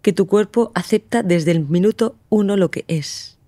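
A woman's voice: medium pitch, normal speed, dark tone, no room sound. A woman with an accent from Spain explaining things conversationally and assertively, often pushing her point with rhetorical questions. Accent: Spanish from Spain.

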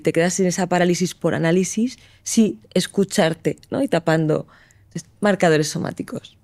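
A woman's voice: fairly low pitch, a little mellow. A woman hosting a conversation, supportive and explanatory. She builds her points step by step and checks in with her guest. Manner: supportive, explanatory, speaks of neuroscience and energy. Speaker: host. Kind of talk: conversational interview.

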